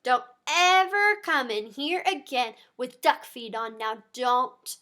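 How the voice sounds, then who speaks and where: A woman doing a strict storybook mother, high-pitched and bright as she lays down the law in a firm, scolding way. The words come out slow and clipped, with plenty of lift. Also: lively intonation, exaggerated voice. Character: stern mother scolding her child.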